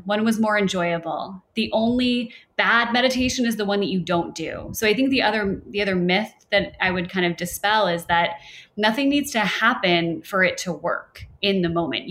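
Young woman meditation teacher, laid back and chatty, jokes around a bit. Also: medium pitch. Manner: relaxed, chatty, playful asides. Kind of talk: casual podcast conversation.

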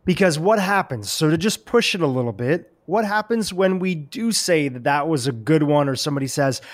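A man's voice: on the high side, expressive, normal speed. A man hosting a podcast, asking thoughtful, probing questions in a casual conversation.

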